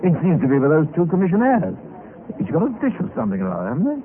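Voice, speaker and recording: Posh-mannered british gentleman in an old radio play, genial n curious, voice swings about loads, scratchy tinny sound. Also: high voice, wildly swooping pitch, rapid-fire. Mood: amused. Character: unnamed gentleman adventurer, genial, curious, playfully reckless. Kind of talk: old-time radio acting, part narration.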